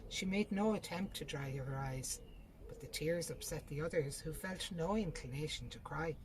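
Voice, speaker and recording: A woman's voice: low-pitched, darkish tone, slight background noise, rough recording. A woman reads a literary short story aloud in narration.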